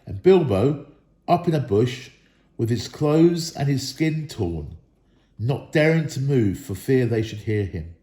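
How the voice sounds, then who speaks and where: A man's voice: medium pitch, lively intonation, measured pace, warm tone. A man reading a story aloud expressively, in the style of children's storytelling, in an echoey room. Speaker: storybook reader, school staff member.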